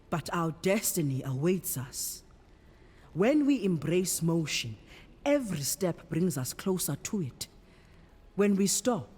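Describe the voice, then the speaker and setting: A woman playing a wise, commanding priestess in a fantasy game, speaking in a solemn, measured way. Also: lowish voice, a little mellow, breathy edge, full character voice. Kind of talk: cinematic fantasy game acting. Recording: long hall-like reverb.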